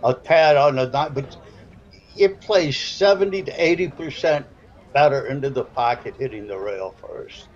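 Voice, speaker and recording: A man's voice: mid-range voice, lively intonation, moderate pace. Man in his 70s, South Side Chicago accent, folksy rambling pool commentary, dull lo-fi sound.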